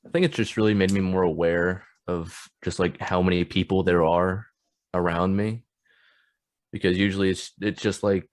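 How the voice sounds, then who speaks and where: Very deep-voiced young man, dry sound. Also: fairly even intonation, dry recording. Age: 20s. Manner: halting, thinks aloud with many likes. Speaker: young adult panelist. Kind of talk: casual chat. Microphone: video call.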